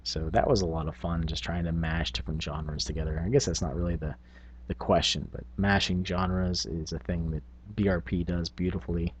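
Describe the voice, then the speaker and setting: Guy w a really low husky voice just rambling casually for his gaming vlog. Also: steady tone, a little fast. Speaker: tabletop gaming video host.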